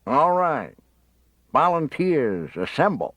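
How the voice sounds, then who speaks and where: A man voicing a gruff, scheming male con-man mentor who growls and snaps his orders. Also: on the high side, big pitch swings, takes it slowly, fairly bright tone, dry recording, voice pushed up, heavily acted. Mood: booming orator. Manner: growling, snapping, curt.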